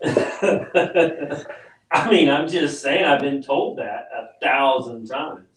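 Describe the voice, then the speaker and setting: A man's voice: medium pitch, lively intonation, slow, far from the mic. Joking man, off-mic in a roomy space. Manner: joking, playfully boastful banter. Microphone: off-mic, far from the camera.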